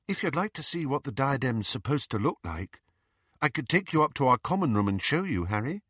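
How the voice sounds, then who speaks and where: A man's voice: medium pitch, big pitch swings, brisk, fairly bright tone, husky, no room sound, studio quality, pitched above the performer's own voice. A man voicing a helpful teenage schoolgirl in an audiobook, earnest and appealing. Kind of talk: audiobook character voice.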